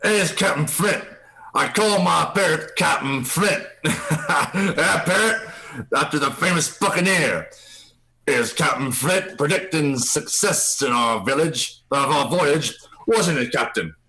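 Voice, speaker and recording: A man's voice: a little high, expressive, slow pace, pushed and bright, exaggerated voice, rough recording. A man playing a genial, wily sailor and ship's cook in a radio play, with folksy seadog talk. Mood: proud, jovial. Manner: chuckling, folksy old-seadog talk, drawn out. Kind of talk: live radio play reading.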